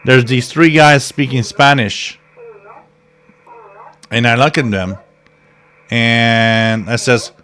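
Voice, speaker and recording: A man's voice: medium pitch, takes it slowly, pauses often, a bit bright. A man co-hosting a live radio stream, chatting casually and rambling through stories with lots of fillers and restarts, laughing at himself. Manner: laughs at his own stories.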